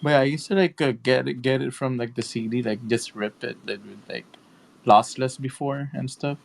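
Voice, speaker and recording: A man's voice: mid-range voice, moderate pace, gravelly. A man from Los Angeles chatting with other fans, rambling and full of fillers. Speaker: fan on a group call. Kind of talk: casual chat.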